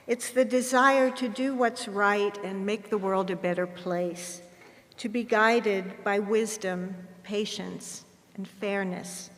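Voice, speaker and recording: A woman presenting a scripted ceremonial speech in a measured, earnest manner. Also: mid-range voice, fairly even intonation, fairly bright tone. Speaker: event presenter. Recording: reverberant hall.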